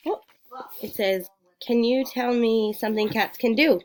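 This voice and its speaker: A woman's voice: fairly high pitch, a little slow, darkish tone. A woman talking casually at home as a mother, coaching a young child through reading with encouraging, short prompting questions.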